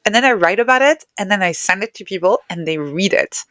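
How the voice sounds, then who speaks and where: A woman's voice: medium pitch, lively intonation, fast talker, a bit bright, no room sound, studio quality. A woman speaking English as a second language, answering reflectively as a guest in an interview conversation. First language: not English. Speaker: podcast guest, writer and neuroscientist.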